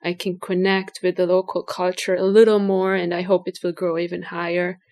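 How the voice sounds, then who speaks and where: A woman's voice: on the low side, little pitch movement, fairly quick, darkish tone. A woman from Hungary with a Hungarian accent, a guest artist thinking aloud in a casual interview with frequent restarts.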